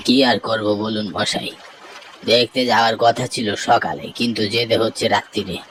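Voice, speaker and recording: A man's voice: lowish voice, steady tone, normal speed, a bit bright, slight background noise, natural voice, poor audio. An actor voicing an exasperated, grumbling middle-aged father in a cartoon drama.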